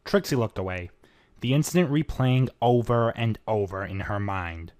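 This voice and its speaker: Animated amateur narrator, mid-pitched voice. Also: normal speed, dry recording, studio quality. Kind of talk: dramatic scripted narration of fan fiction.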